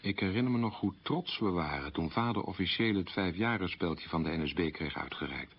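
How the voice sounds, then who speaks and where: A man playing someone who looks back on a wartime childhood in measured, plain sentences, as in a radio monologue. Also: sits low, husky, muffled recording. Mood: wistful recollection. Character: man recalling his childhood in a wartime collaborator family. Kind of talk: radio documentary-drama monologue, reminiscing.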